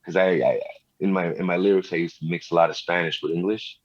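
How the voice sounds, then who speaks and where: A middle-aged man from Montreal with a very deep, husky voice tells his story in a relaxed way. His talk is loose and full of slang and fillers like "you know." The recording sounds a little dull and lo-fi. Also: fast talker. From Montreal, Canada. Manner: laid-back street slang, many fillers like "you know". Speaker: rapper and music producer, guest. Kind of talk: casual interview storytelling.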